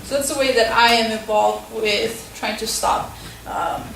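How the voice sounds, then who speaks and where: A woman's voice: a little high, steady tone, measured pace, gravelly, a bit bright, slight background noise. A young woman teacher and community organizer giving a casual forum talk, full of fillers and tag questions. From Tucson, Arizona. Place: school hall. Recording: echoey hall, far from the mic.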